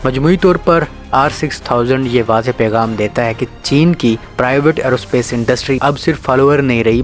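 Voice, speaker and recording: A man narrates a scripted news explainer in a formal, measured way. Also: mid-range voice. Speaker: narrator of a defence news channel. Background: background music.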